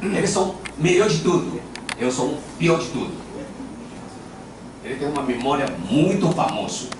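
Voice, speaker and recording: A man's voice: fairly high pitch, expressive, moderate pace. An older man speaking Portuguese with a Japanese accent, giving an informal acupuncture lecture into a handheld mic, telling anecdotes in a playful, self-deprecating way. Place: large lecture hall with audience. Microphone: handheld microphone. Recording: echoey hall, camera at a distance, background noise.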